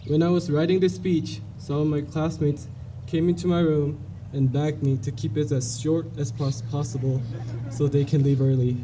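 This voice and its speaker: Young Korean-accented man, earnest graduation speaker, a bit high, dark tone, distant mic. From South Korea. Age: young adult. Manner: earnest, halting with frequent restarts. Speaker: salutatorian cadet. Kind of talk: graduation speech. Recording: distant mic, light noise.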